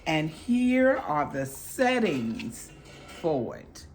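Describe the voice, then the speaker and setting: A woman chats slowly through a product review at home, her voice hoarse and crackling from a recent illness. She speaks in a low register, warm-hearted and devout in her greetings. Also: very animated, soft background music. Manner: affectionate, chatty, pious greetings.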